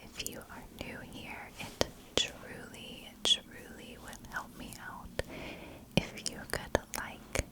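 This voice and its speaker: Slow whispering woman, ASMR storyteller. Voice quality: soft, unvoiced whisper. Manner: slow, gentle, deliberate phrasing. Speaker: host of a spooky storytelling channel.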